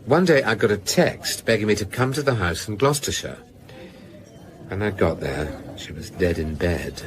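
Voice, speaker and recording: Deep-voiced rueful male novelist, played by an actor. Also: lively intonation, moderate pace, natural voice. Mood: grave, confessing. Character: rueful, sardonic male novelist confessing to blackmail. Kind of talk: radio drama acting.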